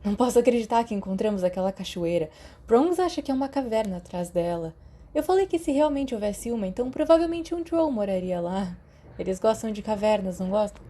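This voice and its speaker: A woman's voice: mid-range voice, big pitch swings, a little fast, mellow. A woman with a Brazilian accent voicing an anxious, friendly teenage boy who casually seeks reassurance in an audiobook. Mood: eager, uneasy. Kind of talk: audiobook character dialogue.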